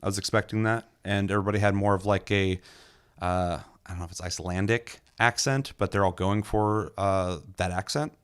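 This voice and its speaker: A young man with a low-pitched voice talks casually about a film he enjoyed. He is loose and enthusiastic, full of ums and restarts, and now and then throws in a curse word. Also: fairly quick, clean tone. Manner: loose and enthusiastic, many fillers, occasional swearing. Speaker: movie reviewer, podcast host. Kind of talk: casual film review chat.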